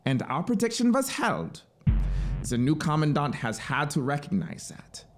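A man's voice: fairly high pitch, very animated, normal speed, soft background music, voice pushed up. A man voicing an eager, talkative military officer in a dramatic storybook reading, insistent and explanatory. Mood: proud, fervent. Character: eager, talkative male military officer, devoted to his machine. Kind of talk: dramatic audiobook character reading.

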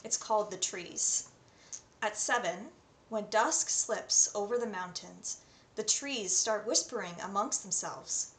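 A woman's voice: mid-range voice, slow, a bit bright. A woman in her twenties with a North American accent, presenting as an online English tutor. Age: 20s. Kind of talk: self-introduction to camera with poem readings. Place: small indoor room at home. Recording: roomy echo, faint background noise.

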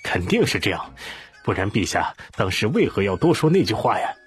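A man voicing a pensive, easily exasperated elder-brother prince in an audiobook, reasoning deliberately. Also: lowish voice, very animated, breathy edge, soft background music, full character voice. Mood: certain.

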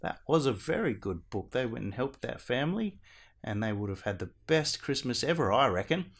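A man playing a costumed superhero who reads a children's storybook aloud in a theatrical, deliberate way. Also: mid-range voice, sing-song. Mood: reflective. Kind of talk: animated picture-book read-aloud.

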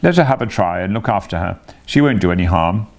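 Kindly gentleman driver, brisk, fried edge, voiced by the narrator. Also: deep voice, lively intonation. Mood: jovial. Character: hearty well-meaning motorist gentleman.

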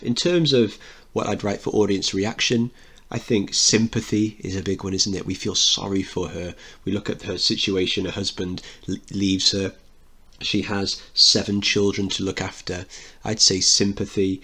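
Male teacher, low voice, slightly husky, explanatory, question-led play analysis, recorded lesson. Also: normal speed, sharp tone. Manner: explanatory, checks understanding with questions. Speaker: English literature teacher.

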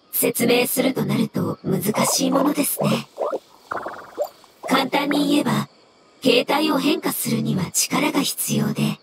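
A woman voicing a gentle, polite elemental creature in a video game, speaking in measured, formal phrases. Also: high-pitched, very noisy. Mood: calm, explanatory. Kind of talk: scripted game dialogue.

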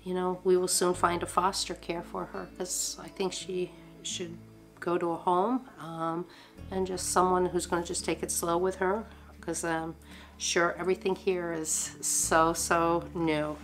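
A middle-aged woman dog rescuer, seated indoors, calmly explaining her plan with soft, halting speech and fillers. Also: fairly low pitch, soft background music.

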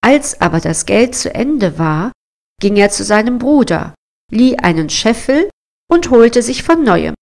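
A woman storyteller narrating a scripted fairy tale in a measured, expressive storybook manner. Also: a little high, creaky.

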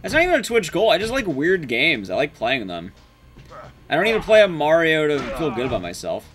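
Higher-pitched, animated young man streaming. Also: brisk, soft background music. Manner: sweary, joking, sarcastic. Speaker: game streamer, speedrunner. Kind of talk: casual live stream commentary while playing.

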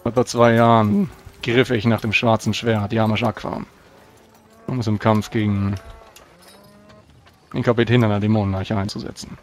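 Man, tabletop player, fairly deep voice, formal solemn roleplay. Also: flat delivery, moderate pace, long pauses, soft background music.

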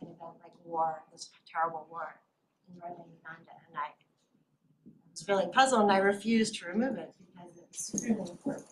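A middle-aged woman giving an academic talk in a seminar room, reflective and quick to laugh softly. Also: fairly low pitch, slight background noise, rough recording. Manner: reflective, with frequent soft laughs. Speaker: lecturer.

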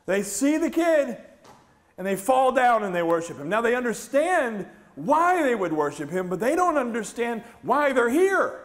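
A middle-aged American man preaching a conversational sermon as a pastor, telling the story in an animated way and putting questions to his congregation. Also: very high voice, normal speed. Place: large church stage, indoors.

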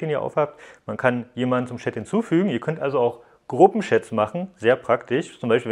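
A man narrating a casual hands-on app review, explaining things step by step and addressing viewers directly. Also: medium pitch.

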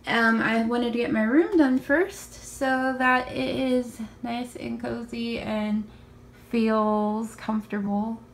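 Young woman, raspy gravelly voice, slightly high, chatty vlog, handheld phone in a small bedroom, faint background noise. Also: steady tone, slow pace. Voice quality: raspy from just waking up. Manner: chatty, upbeat, many ums and likes. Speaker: vlogger. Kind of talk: casual room tour vlog. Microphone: handheld phone camera.

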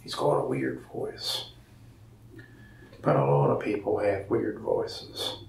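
A young man plays a rambling, folksy mask shop salesman, speaking softly and often slipping into a whisper. His voice is very gravelly and rises and falls a lot. The room sounds echoey, with faint hiss behind him. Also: lowish voice, very animated, slow, far from the mic. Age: young adult. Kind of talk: soft-spoken ASMR roleplay. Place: indoor room before a wall of masks.

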